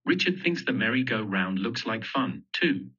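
A man's voice: mid-range voice, big pitch swings, normal speed, bright, forceful tone, breathy edge. A man reading a children's picture book aloud, telling the story simply and expressively. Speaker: storybook reader. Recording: dull lo-fi audio.

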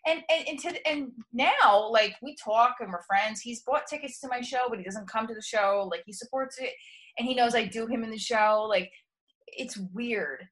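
A woman's voice: a little high, moderate pace, a bit bright. A woman hosting a casual chat show, talking frankly and rambling with many restarts. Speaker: host and comedian.

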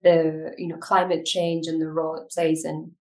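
A woman's voice: deep voice, little pitch movement, normal speed, darkish tone. Reflective woman from Ethiopia, slightly distant. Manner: reflective, frequent fillers and restarts. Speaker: guest, documentary filmmaker. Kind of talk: conversational interview answers.

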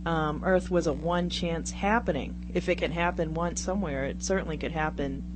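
A woman's voice: sits low, moderate pace. A woman chatting casually on a radio talk show, rambling and self-deprecating as she goes. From Brockton, Massachusetts, United States. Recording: very noisy radio recording with hum.

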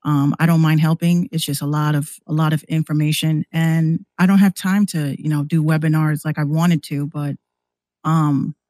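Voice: medium pitch, flat delivery, moderate pace, darkish tone, dry recording, studio quality. A podcast guest who hosts a show, talking casually and at length in a rambling, conversational way.

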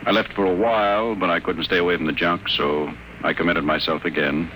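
A wary, deep-voiced patient. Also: male voice, fairly even intonation, brisk, bright, forceful tone, a little hiss or hum, rough recording. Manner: guarded, low-key, confiding. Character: wary adult male addict patient, guarded and secretive. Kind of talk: 1940s radio acting.

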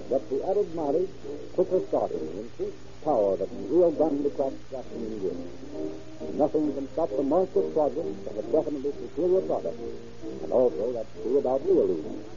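A man announcing and narrating from a script in the style of 1930s radio. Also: on the high side. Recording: dull, lo-fi 1930s radio recording.

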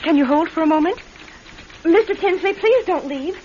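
An actress playing a young, eager secretary in a radio drama, polite, chatty and apologetic, halting when flustered. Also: female voice, very high voice, expressive, a little mellow. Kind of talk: vintage-style radio drama acting. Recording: muffled, noisy radio drama recording.

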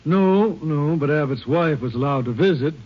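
An actor playing an agreeable, folksy small-county Texas sheriff in a 1950s radio western. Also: male voice, on the high side, normal speed, lightly acted. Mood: grim. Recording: muffled old radio recording.

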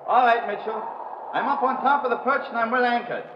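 A man's voice: extremely high pitch, fairly quick. A male actor playing a treacherous, coolly menacing mountain guide in a 1950s radio drama, speaking in stiff, formal phrases. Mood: businesslike. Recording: noisy, echoey, muffled vintage radio recording.

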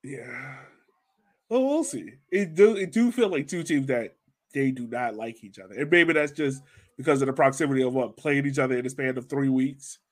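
A man's voice: mid-range voice, normal speed. A man hosting a casual sports discussion, talking conversationally and putting questions to his co-hosts. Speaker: podcast host. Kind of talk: casual sports analysis chat.

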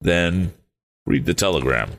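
A man's voice: low voice, animated, a bit bright, slight background noise, exaggerated voice. A man doing a cautious older inventor father. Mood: grave. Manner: measured, deliberate.

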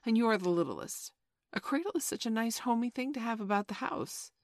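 A woman's voice: mid-range voice, expressive, moderate pace, a bit bright, dry recording, clean studio sound, pitched above the performer's own voice. A woman voicing a bossy, motherly young girl who scolds and fusses primly in a children's storybook reading. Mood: tart. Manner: prim, scolding, fussy.

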